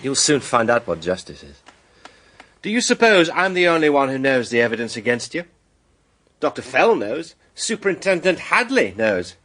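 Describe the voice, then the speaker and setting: An emphatic, husky, righteous accuser. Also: male voice, medium pitch, sing-song, moderate pace, fairly bright tone. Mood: indignant, righteous. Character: righteous, self-assured male accuser laying out a murder case. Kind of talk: radio drama acting.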